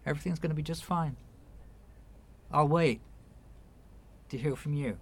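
A man's voice: fairly high pitch, normal speed, a little hiss or hum, lightly acted. An actor in a radio play voicing an earnest, patient man who speaks hesitantly, with small stammers. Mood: reassuring. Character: earnest, patient man gently persuading a younger relative. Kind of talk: naturalistic radio play acting.

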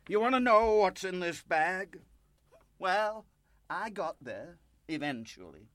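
An actor in a radio play playing a proud, volatile, destitute storekeeper of sixty-eight who swings between indignation and despair. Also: male voice, high voice, animated, slow, fairly bright tone, no room sound, full character voice. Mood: bitter despair.

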